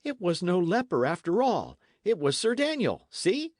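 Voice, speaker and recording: A man's voice: fairly high pitch, lively intonation, measured pace, no echo, voice pushed up. A man acts out a young lad from an old adventure tale. He is earnest, with archaic turns of phrase. The voice sits raised and swings freely, recorded dry. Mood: excited, reassuring. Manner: archaic storybook speech.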